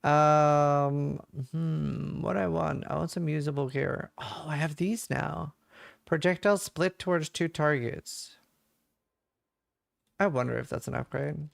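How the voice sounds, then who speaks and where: A man talks loosely over his gameplay, answering chat and musing about his options. His voice sits a little high and has a gravelly edge. The sound is close and dry. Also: slow, no room sound. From Norway. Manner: relaxed, thinks aloud, answers chat questions. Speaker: game streamer. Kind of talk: casual livestream chat while playing.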